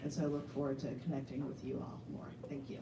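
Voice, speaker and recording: A woman's voice: rumbling low, little pitch movement, quick pace. A woman on an advisory board introducing herself at a meeting in an upbeat, enthusiastic way. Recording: echoey room, distant mic, background noise.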